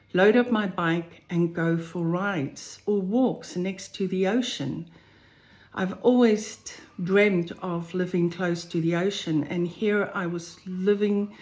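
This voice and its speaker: A woman with a South African accent, telling her own health story slowly and thoughtfully, with many pauses. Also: on the low side, a little mellow. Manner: slow, thoughtful, pausing between phrases. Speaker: vlogger sharing a personal health story. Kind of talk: personal first-person account to camera. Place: home on a farm, roosters crowing outside.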